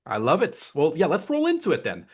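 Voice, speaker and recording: A man hosts an upbeat podcast interview. His voice is husky, with lively, wide-moving intonation. He speaks casually and conversationally, checking in with the listener as he goes. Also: fairly high pitch, brisk. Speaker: podcast host.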